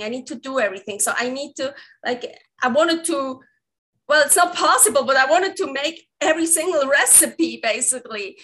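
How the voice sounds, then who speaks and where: A woman in her thirties with a Swiss accent and a high, bright voice. She talks in a rambling way, circling back and correcting herself mid-sentence, with frequent laughs. Her English is fluent but shaped by her Swiss background. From Switzerland. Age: 30s. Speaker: guest, recipe developer. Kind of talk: casual interview conversation.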